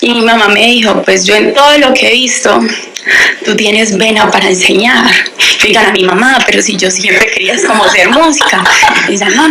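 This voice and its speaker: Bright-voiced woman telling her story. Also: medium pitch, a little hiss or hum, rough recording. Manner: lively, candid storytelling, acts out quoted dialogue. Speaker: interview guest, language teacher. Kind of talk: radio interview, personal storytelling.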